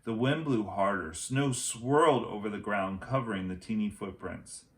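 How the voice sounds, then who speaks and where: A man reading a children's chapter book aloud as a teacher would, with expressive, dramatic phrasing. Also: lowish voice, normal speed. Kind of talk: storybook read-aloud narration.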